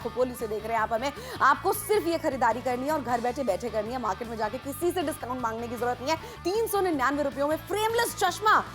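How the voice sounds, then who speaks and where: Woman shopping-channel host, high and rapid, pushy sales patter with music under it. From Ghaziabad, India. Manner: persuasive, urgent, addresses viewers directly. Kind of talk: live teleshopping sales pitch.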